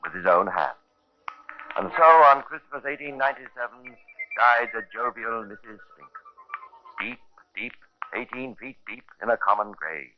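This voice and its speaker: An actor playing a sardonic storyteller, narrating a 1950s radio crime drama in a deliberate, theatrical way. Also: male voice, mid-range voice, expressive, close to an everyday voice. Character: sardonic male storyteller relishing a grim murder tale. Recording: noisy, muffled 1950s radio recording.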